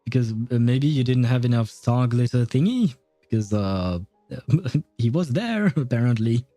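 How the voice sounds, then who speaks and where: A man's voice: mid-range voice, expressive, measured pace, warm tone, dry recording. A man chatting casually on a game livestream, hesitant, with chuckling asides to his viewers. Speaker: livestream gamer reading chat and game dialogue aloud. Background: faint game music.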